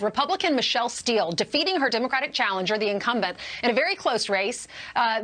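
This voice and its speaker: A woman's voice: fairly high pitch, fairly bright tone. A woman hosting a morning news show, introducing a guest and asking questions in an upbeat way. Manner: upbeat, quick handoffs. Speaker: TV host.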